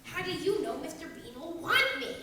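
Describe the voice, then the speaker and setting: A woman's voice: extremely high pitch, big pitch swings, normal speed, bright, forceful tone, full character voice. A woman playing an anxious, polite orphan boy in a radio play, hesitant and worried. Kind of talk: stage radio-play acting. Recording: echoey hall, distant, faint noise.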